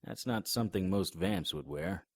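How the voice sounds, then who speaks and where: A man's voice: low voice, animated, normal speed, creaky, dry recording, full character voice. A man playing a wisecracking monster hunter in an audio drama, speaking in a dry, sardonic, matter-of-fact way. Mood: wry. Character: wisecracking middle-aged male monster hunter. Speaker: vampire hunter and narrator.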